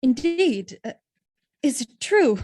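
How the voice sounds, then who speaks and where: An actress playing a devoted, innocent noble wife, earnest and pleading, in a Shakespearean audio drama. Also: female voice, high voice, big pitch swings, slow pace, warm tone, exaggerated voice. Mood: uneasy.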